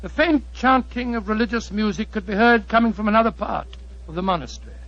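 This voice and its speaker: A man's voice: sits high, fast, a bit bright. An actor playing an earnest English doctor narrating his friend's adventure dramatically, with a British accent, in 1940s radio style. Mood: measured. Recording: dull 1940s radio recording.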